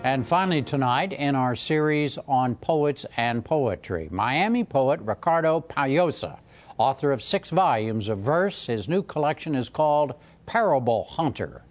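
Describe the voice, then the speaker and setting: A man with a medium-pitched voice reads a scripted broadcast introduction in the role of news presenter. Light music plays behind him. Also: animated, unhurried.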